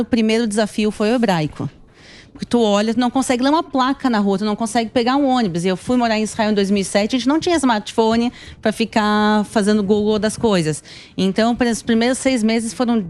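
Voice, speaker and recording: A woman's voice: on the high side, fast, darkish tone. A woman in her thirties with a Porto Alegre accent from southern Brazil, telling stories conversationally as an interview guest. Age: 30s. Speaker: tour guide and YouTuber guest.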